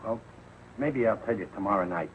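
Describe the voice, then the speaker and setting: A man's voice: on the low side, brisk, close to an everyday voice. A man playing a bumbling, evasive working-class husband and father in a 1940s television comedy, blustering and sheepish, with sloppy grammar. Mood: defensive. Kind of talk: 1940s television sitcom acting. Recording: muffled, noisy old 1940s TV soundtrack.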